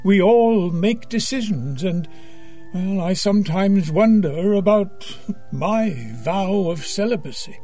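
High-voiced, earnest, unhurried priest. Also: male voice, mellow, faint music behind. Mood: earnest, admonishing. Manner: measured, earnest, counseling. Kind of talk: audio drama acting.

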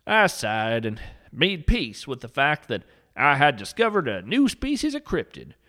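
A man's voice: medium pitch, a bit bright. A man narrating a scripted story with dry, mock-serious humor.

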